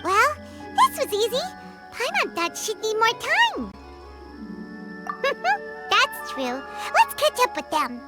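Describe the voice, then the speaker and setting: A woman voicing a tiny, excitable, childlike fairy companion in a video game, squeaky and cutesy, with exclamatory delivery. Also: slow, pauses often, full character voice. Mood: cheerful, relieved. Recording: music behind the voice.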